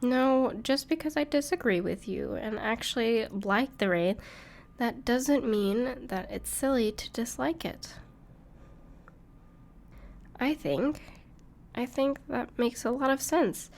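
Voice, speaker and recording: A woman playing a gentle, caring dragon friend in an intimate audio roleplay, speaking reassuringly to the listener with some hesitation. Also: a little high, slow, long pauses. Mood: concerned, gentle. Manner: soft-hearted, reassuring, hesitant with small laughs.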